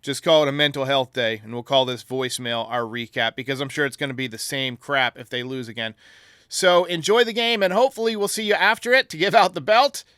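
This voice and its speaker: Bright, emphatic man hosting a sports show. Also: medium pitch, fairly quick, smooth, no room sound, clean studio sound. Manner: emphatic, argumentative. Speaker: podcast host answering fan voicemails. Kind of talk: casual opinionated sports talk.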